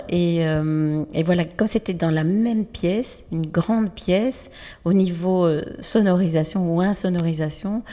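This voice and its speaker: A woman's voice: lowish voice, warm tone, big-room sound. A woman thanking partners and introducing a podcast, hesitating with many fillers. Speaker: project leader. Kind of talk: thank-you speech and introduction.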